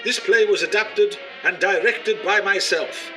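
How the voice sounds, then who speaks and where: A man plays a formal radio announcer with a slightly high voice. He reads a scripted, tongue-in-cheek sign-off over clear background music. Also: measured pace.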